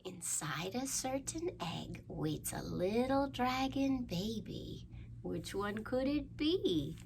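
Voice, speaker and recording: Slow-paced woman reading to young children. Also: fairly high pitch, animated, gravelly, a little mellow. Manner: sing-song questioning with playful pauses. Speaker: children's storytime presenter. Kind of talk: animated read-aloud for young children. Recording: faint background noise.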